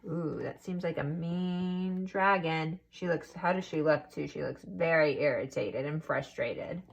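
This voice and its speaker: A woman's voice: deep voice, measured pace, gravelly, slightly rough, lo-fi sound. A woman reading a picture book aloud to children expressively, adding asides to her listeners.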